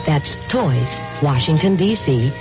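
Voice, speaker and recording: A man's voice: a little high, expressive, takes it slowly, over music. A man reading a scripted public service announcement on the radio. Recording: muffled old radio recording.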